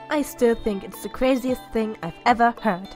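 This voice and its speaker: A woman's voice: on the high side, normal speed, darkish tone, soft background music, lightly acted. A woman voicing a skeptical but caring young female pony in an audio story. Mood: skeptical, amused. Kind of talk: audio story character dialogue.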